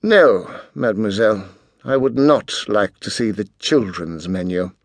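A haughty teenage boy genius from a storybook , acted out by a man. He speaks formally and precisely, with pompous, very lively intonation in a dry, close recording. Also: lowish voice, unhurried, dry recording. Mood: haughty, cutting.

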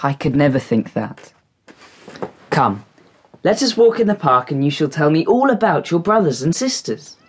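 A kind teenage boy of good family in a period radio play. The voice is lowish and lilting, gentle and reassuring, with clear noise behind it. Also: sing-song, normal speed. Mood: tender, concerned. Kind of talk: period audio drama acting.

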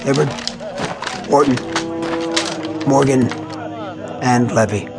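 An actor playing a troubled, weary army officer in a radio war drama, terse and brooding. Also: male voice, mid-range voice, fairly even intonation, slow pace, vocal fry, over music. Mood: dutiful, clipped.

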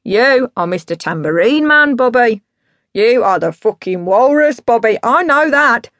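A woman's voice: on the high side, expressive, unhurried, a bit bright, no echo, voice pushed up. A woman voicing a drunk, boastful, sweary middle-aged father with big musical dreams, in a bombastic, declamatory way, in an audiobook story. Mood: drunken, grandiose.

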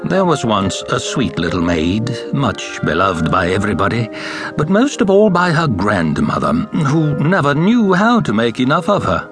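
A man narrating fairy tales as a scripted audiobook, in an expressive storytelling manner. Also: medium pitch, normal speed, music in the background.

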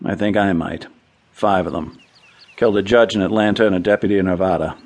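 An unflappable frontier lawman with a very low voice. He speaks in short, deadpan phrases, calm and laconic, as in a radio western. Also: brisk. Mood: grim, calm. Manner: terse, deadpan. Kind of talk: western audio drama acting.

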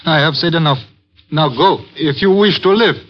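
Man acting a high-pitched, formal Chinese father. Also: lightly acted. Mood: stern, warning. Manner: formal, measured, cryptic, stilted phrasing. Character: courteous, guarded Chinese father and restaurant keeper, fatalistic. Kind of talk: old radio drama acting. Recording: muffled old radio recording, faint noise.

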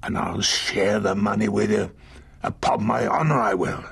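An actor playing a sick, drunken old sea captain in a radio drama, muttering slow sailor talk in a raspy, gravelly voice, gruff and wheedling. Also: male voice, fairly low pitch, fairly even intonation, a bit bright, exaggerated voice. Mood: secretive, urgent.

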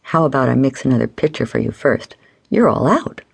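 Lady narrator doing a wry flirty guy, low gravelly voice, easygoing, teasing. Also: expressive, a little fast, exaggerated voice.

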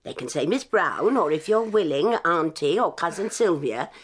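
A woman playing a stern but soft-hearted English children's nanny in an audio drama, firm, prim and emphatic. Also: fairly low pitch, animated, normal speed, breathy edge. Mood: scolding. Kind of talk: full-cast radio dramatisation.

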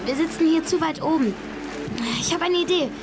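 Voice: extremely high pitch, brisk, background noise. An actor voicing a spirited young girl football fan who talks in a feisty, earnest way in a children's audio drama. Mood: earnest, knowing.

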